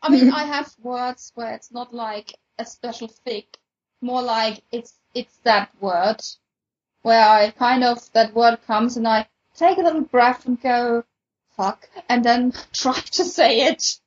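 A woman with English as a second language chats informally on a podcast. Her voice is fairly high and a touch husky. The recording is slightly muffled. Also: slow pace. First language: a language other than English. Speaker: podfic creator and podcast panelist. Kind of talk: casual podcast chat.

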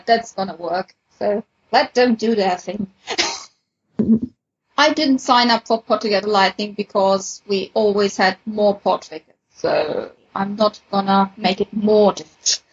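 A woman's voice: mid-range voice, husky, muffled recording. A woman explaining things casually as a moderator on a podcast panel.

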